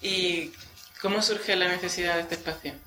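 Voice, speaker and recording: A woman's voice: lowish voice, steady tone, moderate pace, noisy background. A woman conducting a field interview, introducing a guest and asking short questions. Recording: echoey field recording with music.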